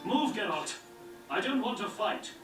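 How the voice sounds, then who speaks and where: High-pitched, barking soldier. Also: male voice, lively intonation, unhurried, a bit bright. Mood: commanding. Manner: commanding, blunt. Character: brusque, barking soldiers and guards. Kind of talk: video game cutscene acting. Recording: roomy distant game playback, faint music.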